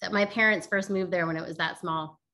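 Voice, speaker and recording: Bright-toned woman from New Jersey. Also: on the low side, steady tone, brisk. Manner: friendly, agreeable, laughs easily. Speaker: guest. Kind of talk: casual chat.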